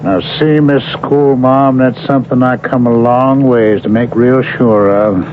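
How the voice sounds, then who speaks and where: An actor playing a folksy, menacing old-West drifter with a drawling rural Western American accent in a radio drama. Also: male voice, medium pitch, fairly even intonation, unhurried, close to an everyday voice. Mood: quietly menacing. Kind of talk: 1970s radio drama acting. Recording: muffled, noisy old radio drama broadcast.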